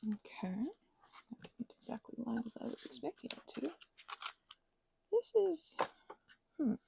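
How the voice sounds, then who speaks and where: A woman in a soft-spoken ASMR crafting ramble, talking close to the microphone in a meandering way and often slipping into whispers. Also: fairly high pitch, big pitch swings, measured pace, creaky, background noise.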